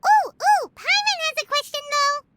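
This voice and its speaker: Squeaky, very high-pitched little fairy. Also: female voice, normal speed, full character voice. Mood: eager, surprised. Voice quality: squeaky, childlike. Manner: excitable, refers to herself in the third person. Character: tiny childlike floating fairy companion, excitable and indignant. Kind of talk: animated video game voice acting.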